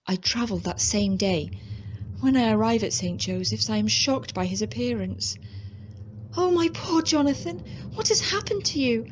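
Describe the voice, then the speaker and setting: A woman's voice: fairly high pitch, lively intonation, normal speed, close to an everyday voice. Woman acting an anxious, tender young Victorian lady. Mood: anxious tenderness. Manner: tender, earnest. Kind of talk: radio drama acting and narration. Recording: dull lo-fi audio, faint noise.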